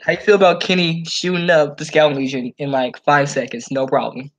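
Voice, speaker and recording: Higher-voiced man in slangy fan chat. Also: steady tone, a little fast, poor audio. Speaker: podcast co-host.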